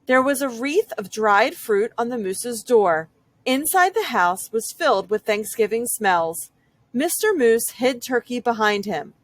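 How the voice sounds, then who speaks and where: Animated, higher-pitched woman storyteller. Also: unhurried, no echo. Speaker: read-aloud storyteller. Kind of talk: expressive children's picture-book narration.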